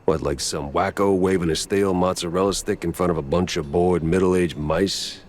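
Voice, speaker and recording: A man's voice: sits low, steady tone, moderate pace, darkish tone, a little hiss or hum, natural voice. A man playing a world-weary, wisecracking mouse private detective in a noir cartoon game, with a dry, sardonic delivery. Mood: wry.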